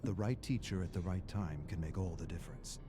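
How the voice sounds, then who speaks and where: A man performing as a solemn storyteller, narrating a video game's character stories in measured, dramatic phrases. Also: sits low, background noise, lightly acted.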